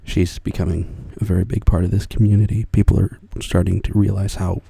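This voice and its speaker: Gravelly whispering older man. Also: low voice, flat delivery, brisk, dry recording. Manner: whispering, soft-spoken, rambling. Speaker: ASMR artist. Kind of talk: soft-spoken ASMR chat.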